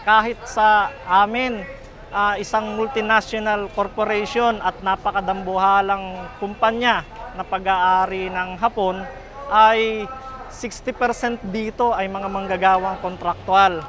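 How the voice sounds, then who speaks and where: A man's voice: sits high, level tone, measured pace. A Filipino man, a factory worker and activist, answering in an interview.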